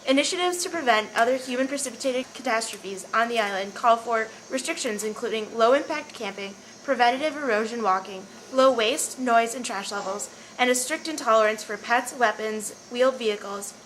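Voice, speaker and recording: A woman's voice: on the high side, moderate pace, fairly bright tone. A woman reading a scripted informational narration. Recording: noisy amateur recording with clear background noise.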